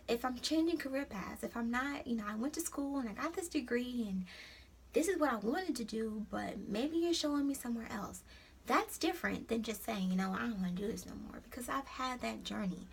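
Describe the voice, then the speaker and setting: A young woman with a New York accent speaks in a slightly high, gravelly voice. She gives encouragement the way a preacher would and calls her listeners honey. A faint hiss of noise sits under the recording. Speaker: host of an encouragement site. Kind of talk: casual motivational vlog.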